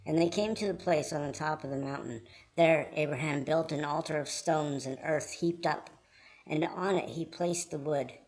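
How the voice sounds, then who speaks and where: A man reading scripted Bible storybook narration in a measured, earnest manner. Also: fairly high pitch, creaky.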